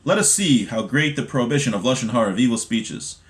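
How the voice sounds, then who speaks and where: A man's voice: medium pitch, lively intonation, fast talker. An American man teaching an informal online religion class, explaining in a conversational way with everyday examples and checking in with the listener. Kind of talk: informal text study, reading aloud then explaining with examples.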